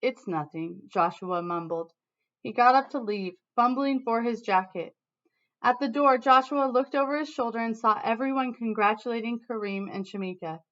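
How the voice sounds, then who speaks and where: An American woman teacher reading a storybook expressively to students, pausing to explain words. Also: a little high, fairly bright tone. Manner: pauses to explain words to children. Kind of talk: expressive read-aloud with explanatory asides. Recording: dull, lo-fi sound.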